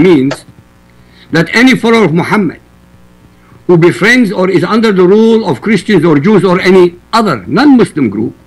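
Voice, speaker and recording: A man's voice: high-pitched, low-quality recording. A man from Iraq whose first language is Arabic, arguing emphatically as a guest in a roundtable debate. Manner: forceful, emphatic quoting. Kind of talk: debate argument.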